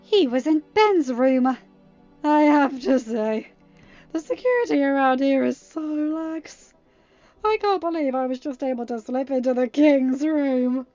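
A woman voicing a smug, taunting young male villain in an audiobook-style story, with a sneering, deliberate manner. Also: on the high side, darkish tone, no echo, highs cut off, soft background music, natural voice.

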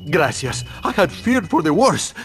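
Melodramatic game butler, Spanish accent, very animated, music behind. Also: male voice, on the high side, a little slow. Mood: relieved, pleading. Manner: excitable, melodramatic. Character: anxious, effusive male butler in a cartoon town. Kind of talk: animated video game character acting.

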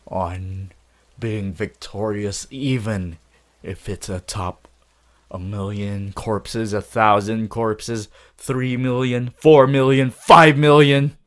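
A menacing young male villain, acted by a man for an ASMR roleplay, with a deep voice. He speaks very slowly and deliberately, leaving dramatic pauses, possessive and gloating, full of grand ambition. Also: fairly even intonation, fairly bright tone. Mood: megalomaniac fury. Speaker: voice actor.

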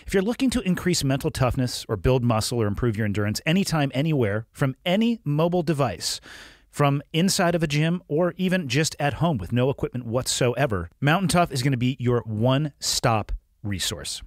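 A man with a head cold reads podcast ads, his voice a little nasal. His pitch swings up and down a lot as he pushes each pitch with emphatic energy. The sound is dry and close, with no room around it. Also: expressive, fairly quick, dry recording. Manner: upbeat, emphatic sales pitch. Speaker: podcast host reading ads. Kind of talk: ad read.

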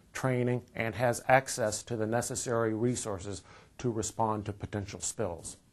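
A man in his fifties with an American accent presents scripted training material in a measured, explanatory way. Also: mid-range voice, darkish tone. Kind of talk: scripted instructional narration. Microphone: lapel mic.

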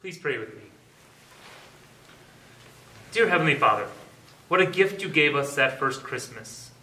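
A man in his thirties leads a prayer in a calm, reverent voice. His tone is bright and a little high. He is recorded on a distant camera mic in a roomy living room. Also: brisk. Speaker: father leading a prayer. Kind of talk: spoken prayer. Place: living room by a Christmas tree, indoors.

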